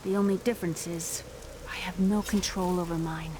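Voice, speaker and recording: A woman's voice: fairly low pitch, steady tone, normal speed, noisy background, close to an everyday voice. An actress playing an earnest, curious young woman in a fantasy video game. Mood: confiding. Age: young adult.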